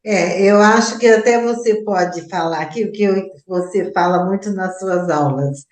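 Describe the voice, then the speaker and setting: A woman's voice: mid-range voice, moderate pace. A Brazilian woman with a Brazilian Portuguese accent, interviewing casually and feeling for her words, recorded with an echoey room sound.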